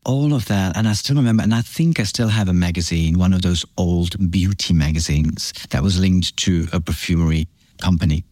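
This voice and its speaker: A middle-aged man from France and Belgium, a brand founder, recalling his past in a relaxed interview with halting, reflective restarts. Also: low-pitched, no echo. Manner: reflective, halting, warm storytelling with many restarts. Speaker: fragrance brand founder, guest. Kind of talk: casual interview chat.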